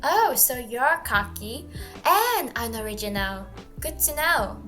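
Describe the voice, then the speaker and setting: A woman playing an expressive teenage girl, a teasing friend and anxious daughter, in an audio drama. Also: a little high, slow pace, faint music behind, heavily acted. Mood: playful, teasing.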